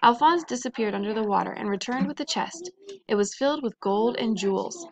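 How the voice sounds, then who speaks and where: A woman's voice: fairly high pitch, expressive, a little fast, gravelly, a crowd in the background, rough recording. A woman reading a children's story aloud in a narrating style. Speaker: storybook reader.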